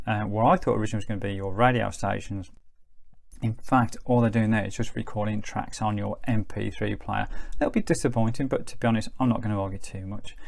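Low, creaky-voiced middle-aged man reviewing gadgets. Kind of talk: casual product review.

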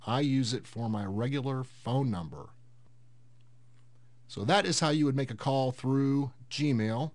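Slow-paced man giving a tutorial. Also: mid-range voice, a little mellow. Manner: unhurried, step-by-step explaining. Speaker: host of a tech tutorial series. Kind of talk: casual screen-recorded software tutorial.

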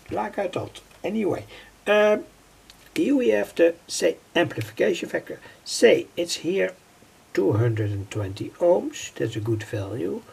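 An older man giving an unscripted technical tutorial in a halting, repetitive way, piecing phrases together. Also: medium pitch, a little mellow. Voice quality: husky, gravelly. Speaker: electronics hobbyist presenter. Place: indoors at a worktable.

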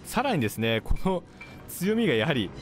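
A man's voice: on the high side, sing-song, fairly quick. A man commentating on his gameplay as a video host, explaining things in a polite manner. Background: game music, gunfire and artillery.

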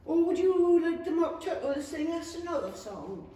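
A woman's voice: very high-pitched, big pitch swings, normal speed, warm tone. A woman playing a bossy, folksy storybook beast in a 1930s-style stage radio play. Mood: brusque. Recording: very echoey theatre, distant mic, hiss.

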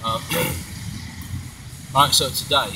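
A man's voice: medium pitch, steady tone, drawn-out words. A young man chatting casually in a park, loose and rambling with frequent swearing, filmed on a phone held by a friend. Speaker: vlogger. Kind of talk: casual chat. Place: outdoor city park, sitting on grass. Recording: distant phone mic with traffic noise.

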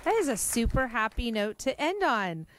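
A woman facilitating a public meeting, upbeat, brisk and organized as she lays out next steps. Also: a little high, sing-song, a bit bright, slight background noise. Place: large meeting room.

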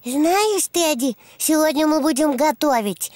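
Женщина озвучивает весёлого маленького ослика-мальчика в детском мультфильме, говорит просто и по-детски, будто сама с собой. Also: female voice, sits high, expressive, unhurried, a little mellow, no echo. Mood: playful, busy. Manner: simple, childlike, self-narrating delivery. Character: cheerful little boy donkey, playful and imaginative. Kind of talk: children's cartoon dubbing. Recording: music behind the voice.

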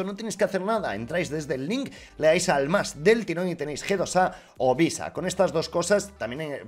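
A man with a Castilian Spanish accent doing casual, foul-mouthed, excitable gaming commentary for his viewers. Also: fairly high pitch. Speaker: YouTuber, gaming streamer. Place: small room with acoustic foam panels. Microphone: boom-arm desk mic close up.

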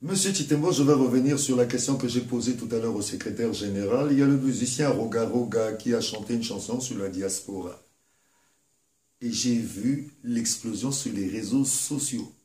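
A man's voice: mid-range voice, moderate pace, dark tone. A man hosting an interview, putting emphatic and courteous questions to his guest. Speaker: host. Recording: roomy echo.

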